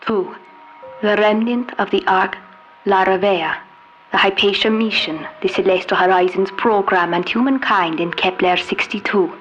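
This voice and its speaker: A woman playing a solemn starship captain who leads survivors, delivering a formal, measured message in an audio drama. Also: medium pitch, a bit bright, muffled recording, close to an everyday voice. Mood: solemn, resolute. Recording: music under the voice.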